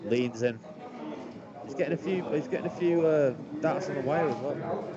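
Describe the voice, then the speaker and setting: Male darts commentator, calm and technical, loud crowd behind, dull stream audio. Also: on the high side. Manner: measured, technical, calls scores.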